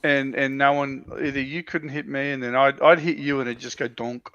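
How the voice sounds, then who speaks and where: A man chatting casually and a little haltingly as a panelist on a hobby livestream. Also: medium pitch, flat delivery, fairly bright tone, smooth, dry recording. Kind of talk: casual chat about miniature wargaming. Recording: dull, muffled lo-fi audio.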